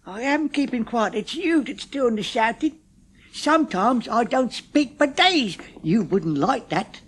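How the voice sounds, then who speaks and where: A man's voice: very high voice, lively intonation, a little slow, a bit bright, slightly rough, pitched above the performer's own voice, full character voice. Man doing a chatty, quipping old uncle. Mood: cheerful. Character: cheerful scatty older uncle.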